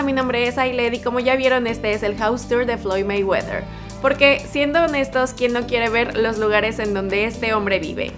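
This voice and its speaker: A young woman presents in an upbeat, chatty way, speaking straight to her viewers. Her voice sits a little high. Music plays clearly beneath her studio voice-over. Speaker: host. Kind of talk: scripted celebrity video presentation.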